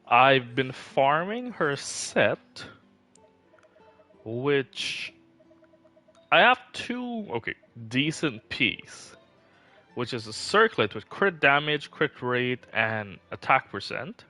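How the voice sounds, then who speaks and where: A man's voice: mid-range voice, very animated, takes it slowly, pushed and bright, faint music behind. A man livestreaming a game, chatting casually in a rambling, informal way. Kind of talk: casual livestream chat about game builds.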